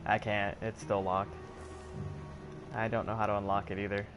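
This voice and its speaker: A man's voice: fairly low pitch, level tone, normal speed, over music. A man streaming a game, thinking aloud in a sarcastic, puzzled way. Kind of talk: casual let's-play commentary.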